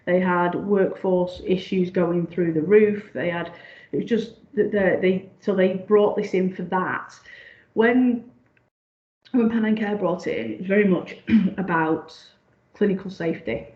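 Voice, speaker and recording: A woman gives a seminar presentation over slides. She is croaky and talks haltingly, with many ums and restarts. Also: fairly low pitch. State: croaky, clearing her throat. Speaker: union staff side lead presenting a seminar. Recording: echoey, dull lo-fi sound.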